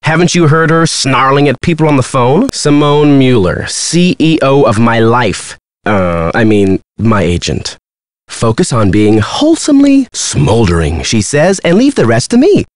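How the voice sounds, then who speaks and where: An actor playing a vain, smooth-talking young male movie star in a video game, glib and full of himself. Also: medium pitch, very animated, a little slow, no room sound, studio quality. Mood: smug. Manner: self-important, showy, glib. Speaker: actor character in an adventure game.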